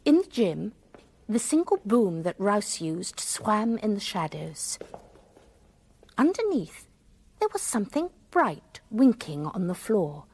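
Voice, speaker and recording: An actress playing an observant, self-deprecating woman who narrates a radio drama in a reflective, expressive way. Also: mid-range voice, takes it slowly, husky, heavily acted. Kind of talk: first-person radio drama narration and dialogue.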